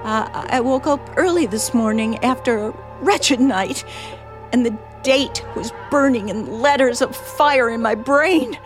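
High-pitched, terrified captive woman. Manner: breathless, stammering, pleading, halting. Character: terrified, frantic middle-aged woman held captive, writing her diary. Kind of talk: old-time radio suspense drama acting.

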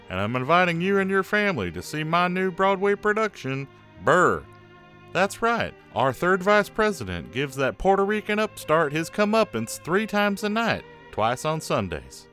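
A man playing a showy, eager theatre producer plugging his show in a spoof 1930s radio commercial. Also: high voice. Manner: animated salesman patter.

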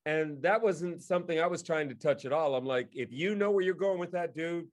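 Higher-pitched man telling stories in conversation. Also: a little fast. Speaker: guest co-host and theatre director. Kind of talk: casual storytelling in conversation.

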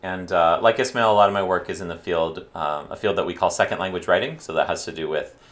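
A middle-aged man, a university lecturer, talking in a relaxed academic podcast chat and pausing often with fillers and restarts. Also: low-pitched. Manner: hesitant, many fillers and restarts.

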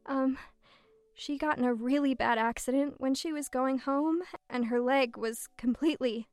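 A woman's voice: sits high, moderate pace, clear, resonant tone, no room sound, faint music behind. A young woman playing a shy, modest, hesitant nineteen-year-old engineer in an audio drama. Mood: self-doubting.

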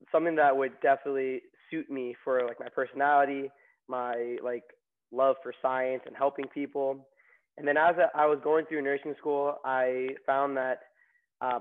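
Young male guest from New York, casual storyteller; narrow pitch, lo-fi phone-quality audio. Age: young adult. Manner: chatty, many fillers and restarts. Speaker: nursing graduate guest. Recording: tinny phone-like line.

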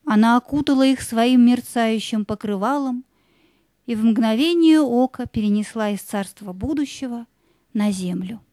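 A woman narrating a scripted fairy tale in a hushed, wondering storytelling manner. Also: fairly high pitch, normal speed, no room sound, studio quality.

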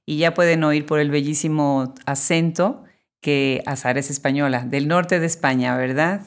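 Low-voiced woman hosting. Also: fairly even intonation, normal speed. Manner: formal scripted intro, then friendly chat. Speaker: podcast host. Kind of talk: podcast interview.

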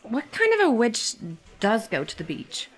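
A woman's voice: a little high, huge pitch swings, normal speed, husky, full character voice. A woman acting a sugary but prickly young restaurant customer in a scripted read. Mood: sweet, curious. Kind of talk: acted script table read.

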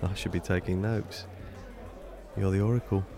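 Low, gravelly, awkward British man. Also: steady tone, moderate pace, mellow. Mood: wry, curious. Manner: hesitant, self-deprecating joking. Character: awkward, nervous, self-deprecating man on a first date. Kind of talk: naturalistic audio drama dialogue.

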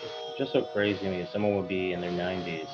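A man calmly telling a long family story in casual conversation, over loud music. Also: sits low, quick pace. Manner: steady, detailed storytelling. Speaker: podcast guest. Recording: muffled recording with loud music behind.

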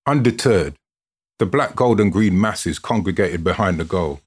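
A man with a husky voice giving an expressive, dramatic scripted reading, like a storyteller narrating a written account. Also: low-pitched, normal speed. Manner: expressive, dramatic delivery with built-up lists.

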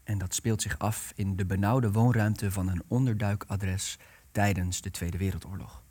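Lowish-voiced young man reading stage directions. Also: fairly even intonation, moderate pace, a little mellow. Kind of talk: scripted narration of a radio play.

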